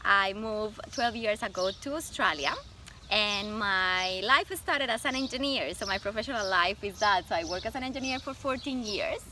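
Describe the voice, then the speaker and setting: A woman in her forties with a Colombian Spanish accent, speaking English as a second language. She talks warmly in a relaxed interview and laughs often. The recording carries clear background noise and birdsong. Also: mid-range voice. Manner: warm, laughs often, thoughtful pauses with fillers. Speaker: guest, image consultant. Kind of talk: casual interview.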